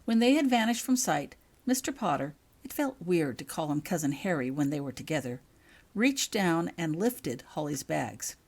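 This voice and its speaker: A woman's voice: sits low, very animated, moderate pace, darkish tone. A woman reading her own written story aloud as scripted audiobook narration.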